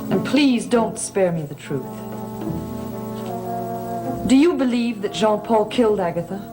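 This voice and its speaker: Woman acting gothic soap heroine, troubled, guarded, earnest, swooping pitch, music behind. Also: lively intonation, measured pace, lightly acted. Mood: grave, searching.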